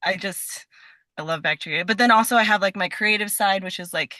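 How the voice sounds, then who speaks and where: A woman with a bright, forward voice at an average pitch chats as a podcast guest. She is informal and enthusiastic, rambling through her thoughts, in a dry recording. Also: fairly quick, dry recording. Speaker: podcast guest, scientist and performer. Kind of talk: casual chat.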